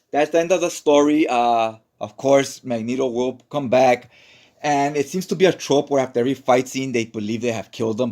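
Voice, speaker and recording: A man's voice: medium pitch, darkish tone. Casual man recapping a story. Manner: informal, colloquial, tags statements with "right?". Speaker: comic book recap narrator.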